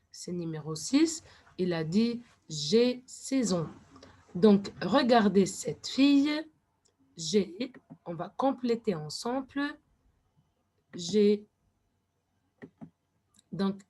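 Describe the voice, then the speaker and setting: Lady teacher talking super slow, careful, explaining to kids, drops into english sometimes. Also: medium pitch, warm tone. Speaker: French teacher. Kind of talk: classroom lesson.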